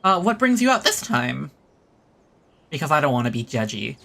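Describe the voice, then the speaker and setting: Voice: medium pitch, big pitch swings, moderate pace, gravelly, noisy background. A game streamer giving casual, rambling commentary while playing. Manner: chatty, rambling, with restarts and little exclamations. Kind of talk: casual commentary over gameplay. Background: loud game music and sound effects.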